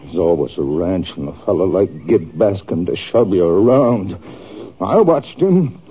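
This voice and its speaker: A wounded, embittered farmer of the Old West, low-voiced and rustic. He talks haltingly and wearily under strain, in old radio drama style, through a thin, phone-like vintage recording. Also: lively intonation, slight background noise. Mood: anguished, hopeless. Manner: rustic, halting, weary speech. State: injured, strained. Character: wounded, bitter, grieving male homestead farmer from Texas. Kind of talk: 1950s radio western acting. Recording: narrow, muffled old-time radio recording.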